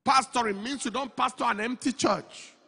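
A middle-aged man preaching a sermon into a handheld mic on a large church stage. He goes from slow, measured phrases to loud, emphatic declarations. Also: extremely high pitch. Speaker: pastor. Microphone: handheld microphone. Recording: long hall reverb, slightly breathy.

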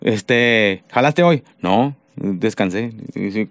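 A man's voice: on the low side, slow, dry recording. A man, telling crude, joking stories as a radio podcast co-host. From northern Mexico. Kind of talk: casual comedic chat. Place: radio studio. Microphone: headset with studio mic.

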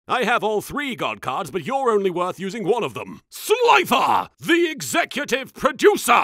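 A man's voice: high-pitched, creaky, pushed and bright, no room sound, clean studio sound, full character voice. A man voicing an arrogant, theatrical young anime duelist in a comedy parody, with haughty, over-enunciated delivery. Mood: bombastic, dramatic. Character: arrogant, pompous young male anime duelist in a recording booth. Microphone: close studio microphone.

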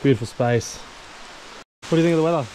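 A man's voice: mid-range voice, fast, warm tone, slight background noise, poor audio. A man chatting casually to his camera while hiking a mountain trail, joking and sarcastic. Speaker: travel vlogger. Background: music.

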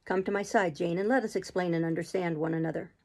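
A woman voicing a brooding, imperious gentleman in love, speaking in urgent, commanding declarations for a storybook. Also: sits high, steady tone, fast talker. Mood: earnest, commanding.

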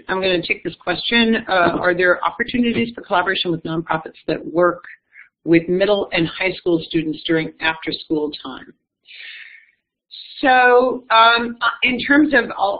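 Woman on a tinny call line. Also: medium pitch. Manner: measured, explanatory, self-correcting. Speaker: executive director of an education foundation. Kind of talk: panel talk answering audience questions.

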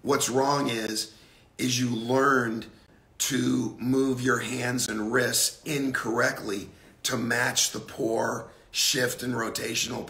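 A middle-aged man with a gravelly, raspy voice, a bit hoarse from a cold, giving a casual, blunt golf lesson on a livestream from an indoor simulator room. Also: mid-range voice, sharp tone, echoey room. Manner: blunt, casual, drawn-out emphasis. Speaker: golf instructor.

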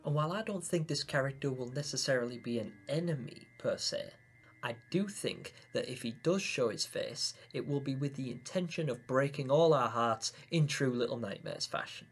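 A man in his twenties hosting a scripted theory video, speaking in an expressive, theatrical storytelling way. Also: medium pitch, normal speed, slightly rough. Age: 20s. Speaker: video host. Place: small room at home, indoors.

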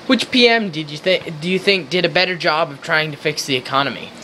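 An earnest old-time radio interview host played by a young man. His voice is fairly high, reading scripted questions in an amateur skit with faint noise behind. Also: brisk, pushed and bright. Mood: questioning. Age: young adult.

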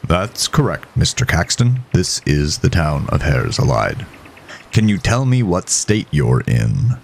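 Man playing a very deep, stern doctor. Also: very animated, unhurried, creaky, a little hiss or hum, full character voice. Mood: formal, measured. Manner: formal, clipped, precise. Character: gruff, stern, impatient male small-town doctor. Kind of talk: audio horror story character voice.

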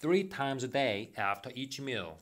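Brief: Korean-accented man, careful read-aloud over a phone line, mid pitch. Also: lively intonation, a bit bright. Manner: careful, deliberate read-aloud, slightly halting. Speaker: phone English practice partner. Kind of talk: reading phrasebook sentences aloud in turns. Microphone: phone call.

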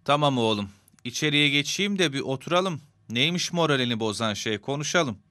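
A crisp, bright voice of a middle-aged father in a children's cartoon, recorded dry. He is kind, patient and devout, guiding his child. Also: mid-range voice, lively intonation, brisk, no echo. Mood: calm, concerned.

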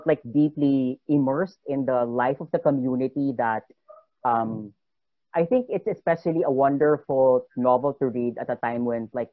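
A man's voice: mid-range voice, no echo. A man poet talking enthusiastically about a novel on a video call, full of likes. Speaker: poet on a panel. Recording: dry, phone-like video call audio.

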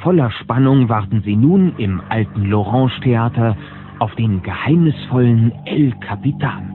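A man's voice: mid-range voice, measured pace, phone-quality sound, lightly acted. A man narrating a children's audio drama as a storyteller, speaking to the listeners from a script. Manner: animated storyteller addressing listeners. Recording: band-limited old cassette with music.

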